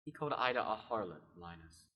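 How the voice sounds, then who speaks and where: Young man as a low, gruff, short-tempered grouch. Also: lively intonation, fast talker, muffled recording, close to an everyday voice. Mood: irritated. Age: young adult. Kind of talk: scripted radio play acting.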